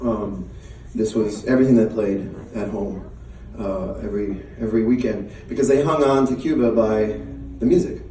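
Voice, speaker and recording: A man's voice: fairly low pitch, moderate pace, few pauses, dark tone, slight background noise. A middle-aged man from the United States chatting casually on stage between songs, laughing often and hesitant with many fillers. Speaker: singer and performer. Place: live venue on stage. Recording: roomy live sound from a distance.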